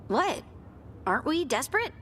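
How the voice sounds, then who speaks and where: A woman's voice: high-pitched, sing-song, moderate pace, a bit bright, a little hiss or hum. A woman voicing a friendly, modest and earnest young scout in a video game, casual and candid. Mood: bright, friendly. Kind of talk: video game dialogue acting.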